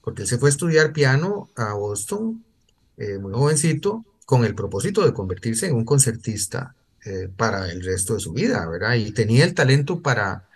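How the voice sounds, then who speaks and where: An older man, recounting memories conversationally in a hesitant, digressive way with repeated words. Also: mid-range voice. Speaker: guest writer. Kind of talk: conversational anecdote telling.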